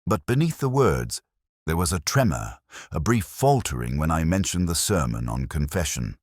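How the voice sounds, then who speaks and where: A man reading a scripted first-person detective story in a measured, brooding, literary manner. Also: a bass voice, very animated, no echo, clean studio sound. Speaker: narrator.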